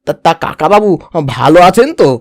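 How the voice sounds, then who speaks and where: A man's voice: high-pitched, big pitch swings, a little fast, darkish tone, no room sound, studio quality, heavily acted. A man voicing a scheming village son-in-law in a comic cartoon, falsely polite and ingratiating, often repeating his words. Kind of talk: exaggerated comic cartoon dialogue.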